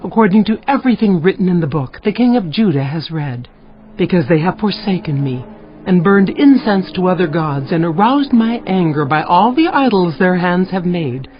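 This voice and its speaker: A woman playing a solemn prophet who declares divine judgment in a measured, declamatory way in a dramatized scripture audiobook. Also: fairly low pitch, expressive, lo-fi sound, lightly acted. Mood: stern, grave. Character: solemn female prophet delivering God's stern judgment.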